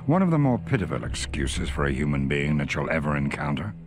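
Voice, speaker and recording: An actor playing a grave, wry Allied intelligence officer giving a measured, authoritative mission briefing in a war video game. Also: male voice, very deep voice, sing-song. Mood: dry contempt. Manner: measured, authoritative, dryly contemptuous of the enemy. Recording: music under the voice.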